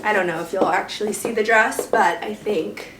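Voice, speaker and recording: A young woman vlogger with a hoarse, fading voice, chatting casually to the camera and often slipping into a breathy half-whisper. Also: mid-range voice, moderate pace, noisy background. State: hoarse, losing her voice. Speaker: lifestyle vlogger. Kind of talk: casual vlog chat to camera.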